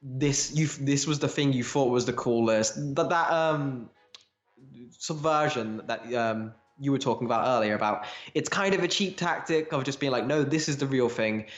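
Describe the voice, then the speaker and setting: Male podcast co-host, mid-pitched, informal and eager fan talk that rambles along, captured in an echoey room. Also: normal speed, faint music behind. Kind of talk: casual fan discussion. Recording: roomy, echoey home recording.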